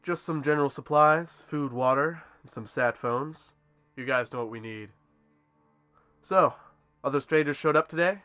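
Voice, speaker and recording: An actor playing the calm, menacing leader of a western gang on a pursuit, casual and controlled. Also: male voice, a little high, normal speed, faint music behind. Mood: casually probing. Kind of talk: amateur western film acting.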